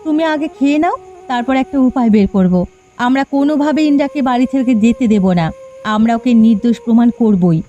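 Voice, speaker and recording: A woman voicing a caring, determined housewife in a cartoon, with a gentle, coaxing manner. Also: on the high side, fast, lo-fi sound, natural voice. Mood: worried, determined. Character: caring, determined housewife comforting her husband. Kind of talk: cartoon voice acting. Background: faint music.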